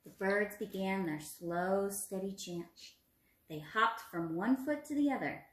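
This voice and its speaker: A woman's voice: medium pitch, measured pace. A woman in her twenties, an encouraging teacher reading aloud and putting questions to young children. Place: small room at home, indoors. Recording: roomy home recording.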